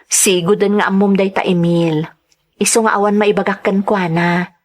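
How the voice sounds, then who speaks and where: A woman's voice: mid-range voice, fairly even intonation, normal speed, natural voice. An actress playing a devoted, sentimental young wife and mother in an audio drama, speaking earnestly and emotively. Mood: affectionate, chatty. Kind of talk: Ilocano audio drama, narration and dialogue.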